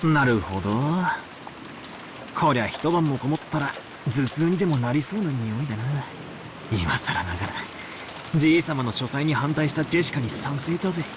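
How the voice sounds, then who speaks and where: A man voicing a brash, wry, cocky teenage boy in a visual novel, with rough colloquial speech and teasing bravado. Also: mid-range voice, animated, fast talker, noisy background, lightly acted. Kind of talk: Japanese visual novel voice acting. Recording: prominent music behind the voice.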